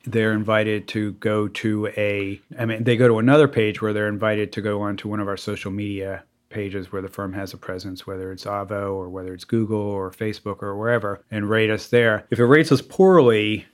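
Male lawyer guest, low-ish pitch, casual, rambling delivery. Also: little pitch movement, a little fast, few pauses. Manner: rambling, full of "you know" and restarts. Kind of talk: casual interview talk.

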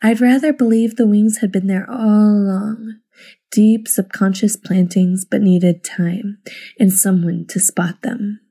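A woman telling a personal story and reading poems aloud in an intimate, reflective way, with halting pauses. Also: medium pitch, steady tone, warm tone. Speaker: listener contributor.

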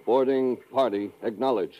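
Actor as a practical American mate, muffled old radio. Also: male voice, medium pitch, normal speed, natural voice. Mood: alarmed. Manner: matter-of-fact, colloquial. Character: easygoing, practical American male ship's first mate. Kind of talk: 1950s radio drama acting. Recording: dull lo-fi old radio recording.

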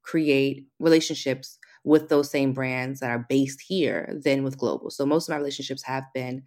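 Young american woman, low voice, guest in a chat, confident, laughs a lot. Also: normal speed. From United States. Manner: animated, laughs often, self-assured. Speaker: guest, hairstylist. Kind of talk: casual interview chat.

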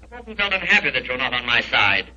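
Sharp, raspy, suave British villain. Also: male voice, medium pitch, big pitch swings, fast, exaggerated voice. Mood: mockingly polite. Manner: silky, mockingly courteous, theatrical. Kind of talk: 1940s radio drama acting. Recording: dull old 1940s radio recording.

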